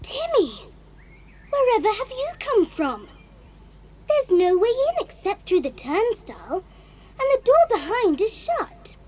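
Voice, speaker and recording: Eager child adventurer, children's audio drama. Very high, animated, friendly. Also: female voice, measured pace, exaggerated voice. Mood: puzzled, curious. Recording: clear background noise with birdsong.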